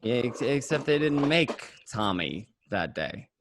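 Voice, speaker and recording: A man acting as an excitable mobster who tells his crime story conversationally, tripping over and repeating words. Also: mid-range voice, unhurried, background noise. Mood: resigned, bitter. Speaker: amateur actor in an online radio play. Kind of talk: first-person dramatic monologue, conversational.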